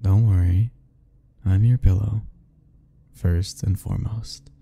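Low gravelly teasing young man. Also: steady tone, slow, dark tone. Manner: teasing, playful, laughing often. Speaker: ASMR roleplay narrator. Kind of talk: ASMR boyfriend roleplay, talking to the listener.

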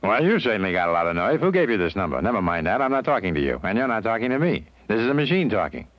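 A man's voice: fairly low pitch, very animated, no echo. A man playing a brash, combative, wisecracking grouch in a comedy answering machine greeting. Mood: cranky, wisecracking. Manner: rapid-fire, combative. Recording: dull, dry tape recording.

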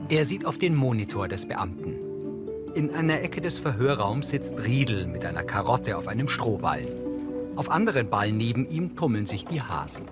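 A man's voice: medium pitch, big pitch swings, moderate pace. Male audio description narrator, music behind. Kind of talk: scripted neutral narration.

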